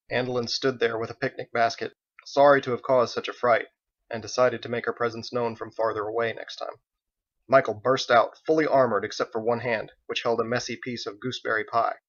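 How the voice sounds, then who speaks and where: A man narrates a fantasy audiobook. His voice sits at a middle pitch and he reads the prose expressively. The recording is slightly dull. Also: level tone, fairly quick, a bit bright. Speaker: author reading own audiobook.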